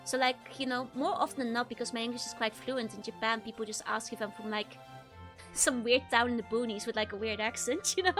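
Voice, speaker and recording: A young woman from the Netherlands with a strong Dutch accent and R's that come out soft. She chats and giggles with her viewers in a high, bright voice, and faint game music plays behind her. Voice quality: soft R's from a speech impediment. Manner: giggly, chatty, answers chat messages. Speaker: VTuber streamer. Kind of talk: casual chat while playing a game.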